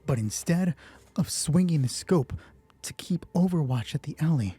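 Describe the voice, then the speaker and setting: A man's voice: fairly high pitch, lively intonation, moderate pace, dark tone, slightly rough, no echo, soft background music. A man narrating a solo tabletop roleplay as game master, informal and moving between dramatic storytelling and thinking aloud.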